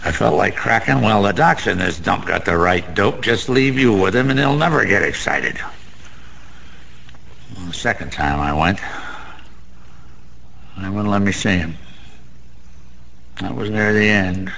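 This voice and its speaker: Slow, gravelly American gambler down on luck. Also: male voice, on the low side, expressive, fairly bright tone, heavily acted. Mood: wistful boasting. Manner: slangy, rambling, world-weary. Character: down-on-his-luck, bragging male small-time gambler, sentimental and bitter. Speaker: actor. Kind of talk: radio drama monologue. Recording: faint background noise.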